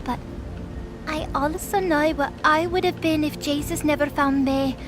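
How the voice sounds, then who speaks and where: Woman voicing a very high, earnest winged girl. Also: steady tone, measured pace, music in the background. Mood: defiant, pleading. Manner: impassioned, naive, argumentative. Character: earnest, stubborn winged young girl defending her friend. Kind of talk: audio drama character acting.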